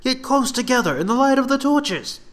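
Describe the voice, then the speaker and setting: A man's voice: extremely high pitch, very animated, moderate pace, voice pushed up. Man playing a terse, irritable fantasy ranger. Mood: urgent. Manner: terse, grumbling, commanding when alarmed. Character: gruff, irritable male ranger, serious misanthropic loner.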